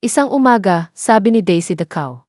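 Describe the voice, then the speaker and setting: A woman's voice: medium pitch, animated, normal speed, darkish tone, no room sound, clean studio sound. A woman with a Filipino accent narrating a scripted children's bedtime story. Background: music bed.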